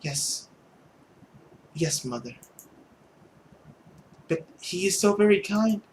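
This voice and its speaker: A man acts out a meek young girl from a visual novel, obedient and full of guilt. The voice is raised in pitch, soft and hushed, and comes out hesitant and apologetic. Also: animated, slow pace, slight background noise, rough recording. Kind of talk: visual novel read-aloud character voice.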